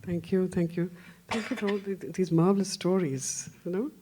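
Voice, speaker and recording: A woman's voice: on the low side, fairly even intonation, normal speed, a little hiss or hum. Older woman, festival panelist; wandering, self-mocking delivery. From India. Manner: rambling and self-deprecating, with frequent restarts and soft laughs. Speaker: panelist, translation editor. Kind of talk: panel discussion.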